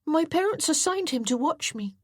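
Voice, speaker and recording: A woman's voice: high voice, expressive, moderate pace, no room sound, studio quality, voice pushed up. A woman doing a prim, eager young boy. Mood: anxious. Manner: precise, formal and proper. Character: eager, earnest well-bred young boy.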